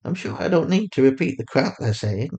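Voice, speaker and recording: A man's voice: medium pitch, animated, brisk, a little mellow, voice pushed up, natural voice. A man voicing a loving, sorrowful mother in a story, speaking in a tender, soothing way. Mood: tender, protective. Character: gentle, loving, sorrowful mother of a teenage girl.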